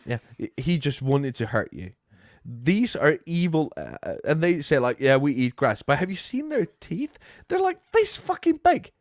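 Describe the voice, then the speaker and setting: Norwegian-accented man, animated rants, swings up and down in pitch, dry close sound, casual guest. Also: normal speed, no echo. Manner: animated, swearing, playful rants. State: sick with flu, drinking. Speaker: podcast guest. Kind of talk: casual chat.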